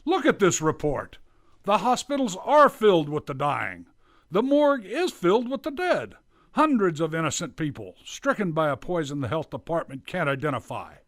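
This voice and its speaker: A man's voice: on the high side, big pitch swings, normal speed, fairly bright tone. A man playing a blustering city mayor. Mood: demanding. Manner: demanding and impatient. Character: stern, blustering middle-aged male city mayor. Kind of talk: radio drama acting.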